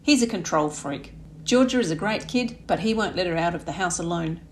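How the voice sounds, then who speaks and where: Woman as an aggrieved, smooth young charmer. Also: on the low side, lively intonation, fairly quick, a little hiss or hum, natural voice. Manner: indignant, self-justifying.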